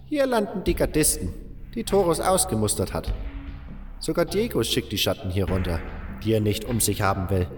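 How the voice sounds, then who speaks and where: Man voicing a weathered old miner, raised pitch. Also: a little mellow, big-room sound. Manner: seasoned, plain-spoken storyteller, addresses listener as a youngster. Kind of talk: audiobook character voice.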